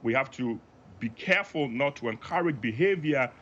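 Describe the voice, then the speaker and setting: A man from Africa gives expert analysis in a news interview. His voice is bright and forceful, his delivery measured and emphatic. The audio is somewhat dull and band-limited. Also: mid-range voice, lively intonation. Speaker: professor, expert guest.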